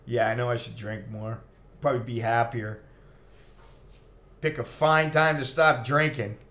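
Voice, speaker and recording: A man's voice: medium pitch, fairly even intonation, a little slow, fairly bright tone. A middle-aged American man, a little tipsy, rambling casually to a livestream in a blunt, profane, opinionated way. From United States. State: tipsy after a few drinks. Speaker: expat vlogger.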